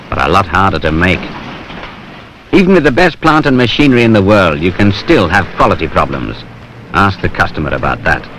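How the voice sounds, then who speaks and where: A low-pitched British male narrator with a plain-spoken, matter-of-fact way of telling things in everyday language. He reads a scripted training film. The soundtrack is an old 1970s one with slight noise. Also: fairly bright tone, low-quality recording.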